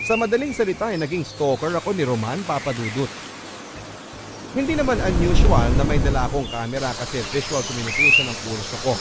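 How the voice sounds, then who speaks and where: A man's voice: fairly high pitch, very animated, fairly quick, heavy background noise. A man with a Filipino accent reads a scripted first-person story as a radio storyteller, in a dramatic narrating style. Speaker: radio storyteller reading a listener's letter. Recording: music playing behind the voice. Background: loud background music.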